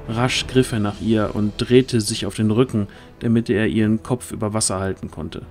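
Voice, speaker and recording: A man narrating a fantasy audio drama from a script in a measured storytelling manner. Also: medium pitch, darkish tone.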